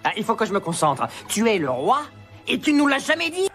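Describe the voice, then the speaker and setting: A man voicing a small, excitable, wisecracking male meerkat in an animated film, theatrical and sarcastic. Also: high voice, a little fast, exaggerated voice. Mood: indignant. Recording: loud music behind the voice.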